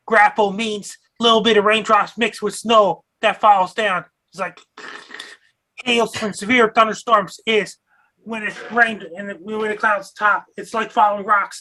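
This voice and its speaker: Guy with a high sharp voice explaining weather stuff, kinda halting and repeats himself, very earnest. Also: little pitch movement. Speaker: weather show contributor. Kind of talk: simple explanatory weather talk.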